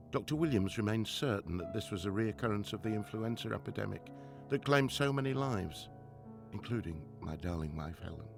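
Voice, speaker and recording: A man's voice: on the low side, creaky. An actor playing a stern, driven widowed professor and father in a 1920s radio drama, with a formal, precise delivery. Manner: formal, precise, clipped scolding. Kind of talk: radio drama acting, diary narration. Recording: music under the voice.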